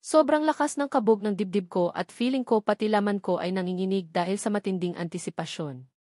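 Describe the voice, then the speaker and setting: A woman narrates a novel in the first person. Her voice has a middle pitch, and the sound is dry, with very little room in it. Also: brisk, darkish tone, no room sound. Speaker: audiobook narrator.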